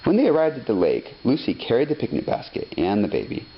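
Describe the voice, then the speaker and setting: A man narrating a children's story expressively, reading deliberately phrase by phrase. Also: mid-range voice, huge pitch swings. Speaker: storybook reader. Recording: dull lo-fi sound, faint noise.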